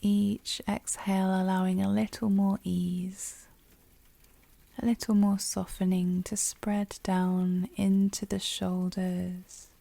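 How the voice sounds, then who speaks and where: A woman leading a guided yoga nidra meditation, speaking softly and soothingly with a breathy voice that often drops near a whisper. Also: mid-range voice, level tone, no room sound. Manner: soothing, gentle, unhurried pauses.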